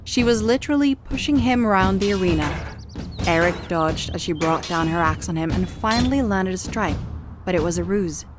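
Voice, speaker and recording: A woman's voice: lowish voice, lively intonation, moderate pace. A woman reading a scripted story as the narrator of an audio drama. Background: music.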